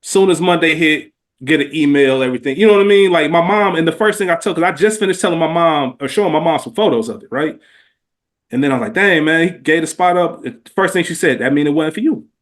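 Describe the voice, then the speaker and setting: A man's voice: on the high side, quick pace. An American man co-hosting a podcast in casual, reflective conversation, earnest and slangy, repeating phrases for emphasis. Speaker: podcast co-host.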